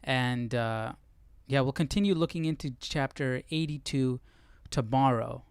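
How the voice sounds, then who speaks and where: A man with a smooth, clear, mid-pitched voice hosts a casual Bible study livestream. He reads aloud and thinks out loud in an informal way. The sound is dry and close. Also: measured pace, no echo. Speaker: live Bible study host.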